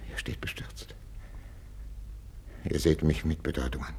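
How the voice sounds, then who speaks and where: An actor playing an aging, jealous and imperious king in a 1950s German radio drama, husky and declamatory. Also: rumbling low, flat delivery, unhurried, a little mellow, heavily acted. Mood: brooding, suspicious. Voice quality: gravelly, husky. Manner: declamatory, swinging between whispers and outcries. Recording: old 1950s radio recording.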